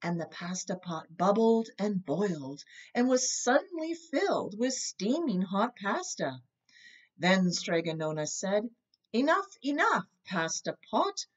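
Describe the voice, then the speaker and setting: Expressive woman reading a storybook, slightly muffled. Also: mid-range voice, a little slow. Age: middle-aged. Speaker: storybook reader. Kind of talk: read-aloud children's story narration. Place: indoor room with bookshelves.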